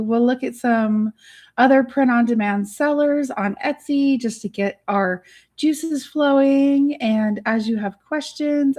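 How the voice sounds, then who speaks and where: A middle-aged woman with a raspy, hoarse voice, hosting a casual live chat from her home studio on a studio mic. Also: a little high, a little mellow. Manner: chatty, bubbly, giggly. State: hoarse, worn voice. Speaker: livestream host. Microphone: studio microphone.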